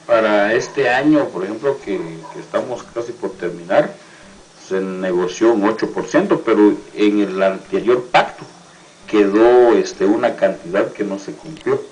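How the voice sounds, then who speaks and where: A man with a Guatemalan accent, giving political commentary as a union representative, often halting and repeating words. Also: on the low side, a little mellow, slight background noise, rough recording. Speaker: teachers' union representative, interview guest.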